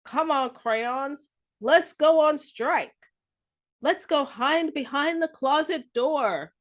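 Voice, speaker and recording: A woman's voice: high voice, slow pace, voice pushed up. A woman voicing an indignant crayon leading a strike in a children's storybook, speaking in emphatic declarations. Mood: indignant, firm.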